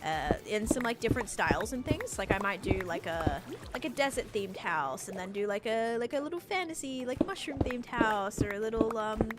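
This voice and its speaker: A woman's voice: on the high side, animated, moderate pace, hardly any pauses, very noisy. A young woman, a little congested, chatting casually on a live stream and reading chat aloud in a rambling way. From Australia. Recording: music playing underneath. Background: background music.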